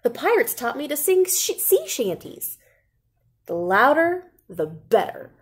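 A woman in her thirties reading a children's picture book aloud in an expressive, deliberate way, like a teacher. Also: high voice, husky. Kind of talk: animated children's storybook read-aloud. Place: indoor classroom.

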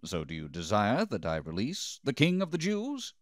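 Guy doing a roman governor in a bible drama, bossy, exasperated, big pitch swings, questions everything loudly. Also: huge pitch swings, moderate pace, dry recording, clean studio sound. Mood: proclaiming. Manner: declamatory, questioning. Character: Roman governor, exasperated, uneasy, commanding man. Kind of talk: dramatised scripture reading.

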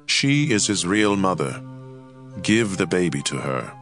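Young ancient king for scripture drama: very low voice, solemn, measured, music beneath. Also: big pitch swings, fairly bright tone, heavily acted. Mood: commanding.

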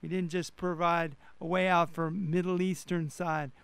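A man's voice: fairly high pitch, little pitch movement, normal speed, creaky, fairly bright tone, clear, resonant tone, no room sound. A man speaking as a pastor and radio co-host, preaching emphatically and repeating phrases for stress. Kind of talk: animated radio preaching and banter.

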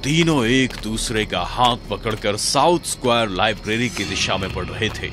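A man narrating a cartoon horror story in a lively, dramatic way. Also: fairly low pitch, normal speed. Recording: narration over loud music.

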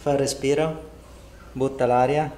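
A middle-aged man speaks as a chiropractor guiding a client through treatment. His phrases are short, calm and reassuring, with a medium-pitched voice. The room adds a clear echo, with faint noise underneath. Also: measured pace. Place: indoor home-like treatment studio. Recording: roomy echo, background noise.

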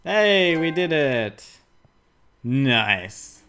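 A man's voice: a little high, sing-song, extremely slow, sharp tone. A young adult man giving playful, sarcastic, exclamatory casual commentary while playing a video game. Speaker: let's play host. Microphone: desk USB microphone.

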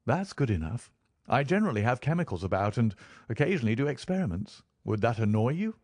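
A man performs an eccentric scientific detective from a storybook, pitched well up and brimming with eager energy. He talks briskly and candidly, with animated cheer, in a close, dry sound. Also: no echo. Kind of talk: audiobook character dialogue.

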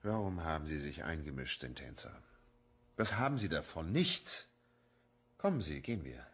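An actor playing a cynical, menacing police official in a radio crime drama, taunting and deliberate. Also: male voice, very deep voice, big pitch swings, a bit bright, husky, full character voice. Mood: cold.

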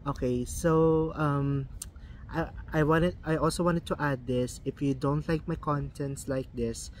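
A man's voice: fairly high pitch, fairly even intonation, a little slow, a little hiss or hum. A young Filipino man with a Filipino accent and Filipino as his first language, vlogging casually, with many ums and restarts. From Philippines. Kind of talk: casual vlog talk.

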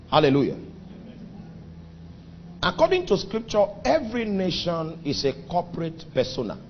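A man with a Nigerian accent preaches in a middle-pitched voice that leaps up and drops low as he stresses his points, stretching out words for effect. The recording is dull and lo-fi, with a faint hiss underneath. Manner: emphatic preaching with sudden pitch and volume swings, drawn-out phrases. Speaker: pastor. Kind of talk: sermon.

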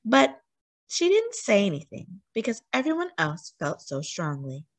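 A woman reading a children's book aloud as a storyteller, with expressive, emphatic delivery for young listeners. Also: mid-range voice, measured pace, dry recording.

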